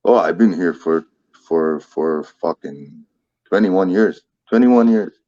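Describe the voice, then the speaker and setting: A man's voice: deep voice, slow pace, dark tone, dry recording, muffled recording. A man in his thirties with a foreign accent, a fighter talking casually in an interview, laid-back and swearing often. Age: 30s. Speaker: MMA fighter, guest. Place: Ottawa.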